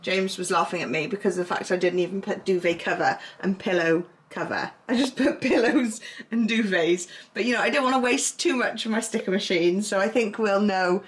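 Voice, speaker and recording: A woman's voice: mid-range voice, fairly quick, few pauses, a little hiss or hum. Giggly pregnant woman vlogging. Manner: giggly, playful, chatty. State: heavily pregnant. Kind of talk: casual home vlog chat.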